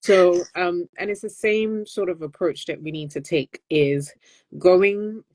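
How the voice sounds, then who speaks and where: A woman speaking as a guest panellist, explaining things conversationally in a measured, careful way. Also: lowish voice, darkish tone, no room sound. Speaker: guest panellist, health advocate.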